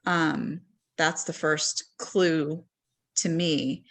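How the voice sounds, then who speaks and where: A woman's voice: deep voice, steady tone, extremely slow, a bit bright. A woman talking on a discussion panel as a parent, casual and conversational, with many ums and a voice that trails off at the ends of thoughts.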